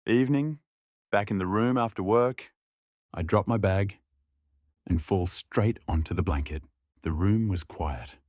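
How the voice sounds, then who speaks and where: A man's voice: lowish voice, unhurried, pauses often, darkish tone, no echo. A young man giving scripted audio drama narration as a subdued, reflective inner monologue.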